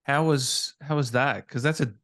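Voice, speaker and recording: A man's voice: mid-range voice, moderate pace, fairly bright tone. A man hosting a gaming channel interview in a casual, conversational style.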